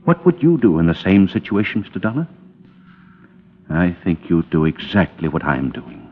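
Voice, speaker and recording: An actor playing an anguished middle-aged American businessman in a vintage radio drama, pleading and halting, cornered by blackmailers. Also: low-pitched, big pitch swings, husky, heavily acted. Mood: grave, resigned. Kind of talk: 1940s-50s radio drama acting. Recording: muffled old radio recording with hall reverb.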